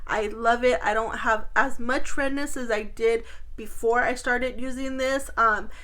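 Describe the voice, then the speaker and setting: High-voiced woman beauty vlogger, chatty. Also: steady tone, measured pace, pushed and bright. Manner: chatty, informal, addresses viewers directly. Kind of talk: casual chatty product review to camera.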